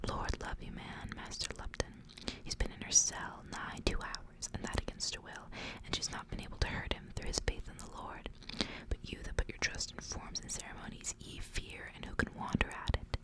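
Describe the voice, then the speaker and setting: A burly village constable, as a woman reader plays him, sneering and hateful in a husky whisper. The mockery is delivered in hushed, breath-only tones, in the manner of an ASMR horror storybook. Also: moderate pace, lightly acted, poor audio. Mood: mocking.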